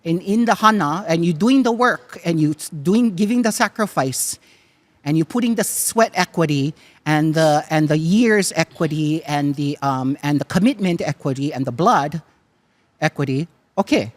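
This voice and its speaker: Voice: medium pitch, normal speed. A guest from Hawaii with a Hawaiian Pidgin accent, talking emphatically in a teacherly way and repeating phrases for weight. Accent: Hawaiian Pidgin English. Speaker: guest, cultural practitioner. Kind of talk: casual conversation.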